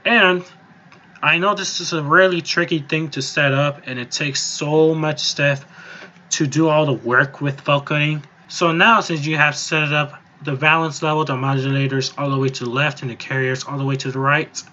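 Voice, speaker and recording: A man with a slightly high voice talks through a software tutorial in a loose, informal way. He goes step by step and rambles a little. The recording is a somewhat dull, lo-fi voice-over. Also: fairly even intonation, normal speed. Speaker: music software tutorial presenter. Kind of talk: casual, improvised screen-recorded tutorial. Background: voice-over on a screen recording.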